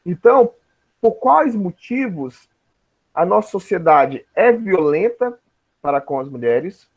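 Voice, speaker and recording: A man's voice: sits high, big pitch swings, slow pace, phone-quality sound. A man with a Brazilian Portuguese accent giving an informal lecture in a didactic way, often checking that listeners follow. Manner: didactic, frequent tag questions checking understanding. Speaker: teacher, lecturer.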